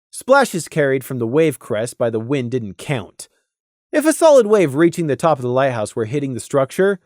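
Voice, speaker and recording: A woman reading scripted story narration in an expressive, dramatic way, as an audiobook narrator. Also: low voice, dry recording, studio quality.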